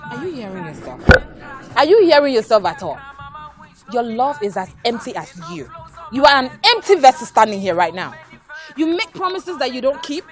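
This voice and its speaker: High-pitched, fed-up girlfriend. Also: sing-song, moderate pace, faint music behind. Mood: angry. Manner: scolding, emphatic. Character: fed-up, scornful girlfriend rejecting her sponging boyfriend. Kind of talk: melodrama acting.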